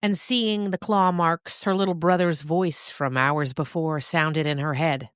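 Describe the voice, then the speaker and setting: A woman narrating a scripted story expressively, with dramatic pauses. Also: low-pitched, steady tone, clear, resonant tone, dry recording, clean studio sound.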